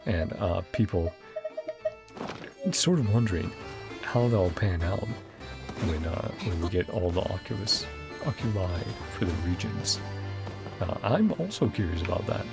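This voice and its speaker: A man narrates his own gameplay in a loose, rambling way. His voice has a noticeable creak and moves expressively up and down, with game music playing behind him. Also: deep voice, animated, unhurried, long pauses. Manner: rambling, musing, easily amused. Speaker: gaming content creator. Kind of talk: casual game playthrough commentary.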